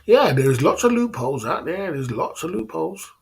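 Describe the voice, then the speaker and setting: A man's voice: fairly high pitch, sing-song, moderate pace, vocal fry, a little mellow. A middle-aged man from England, hosting a casual livestream and talking in a boastful, repetitive way. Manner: repetitive, boastful, acts out dialogues. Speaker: livestream host.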